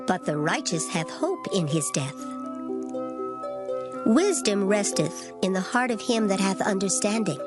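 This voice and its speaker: A woman giving a dramatized reading of scripture in formal old English, measured and solemn. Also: medium pitch, animated, natural voice. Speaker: scripture reader. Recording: loud music behind the voice. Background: music.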